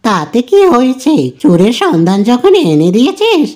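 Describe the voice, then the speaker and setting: A woman's voice: fairly high pitch, very animated, moderate pace, darkish tone. A woman voicing a hot-tempered cartoon monkey father who scolds and taunts defiantly. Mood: angry taunting. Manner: scolding, mocking.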